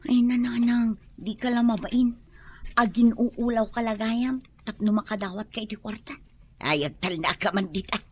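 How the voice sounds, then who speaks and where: A woman's voice: on the high side, moderate pace, a little mellow, slight background noise, close to an everyday voice. A woman playing a whiny, scheming elderly mother in an Ilocano comedy radio drama, melodramatic and complaining, with an Ilocano accent. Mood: wheedling.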